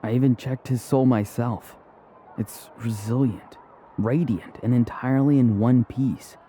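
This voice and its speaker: Man voicing young male game warrior, very mellow, serious, measured delivery, faint background noise. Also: medium pitch, natural voice. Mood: grave, reflective. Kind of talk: English video game character acting.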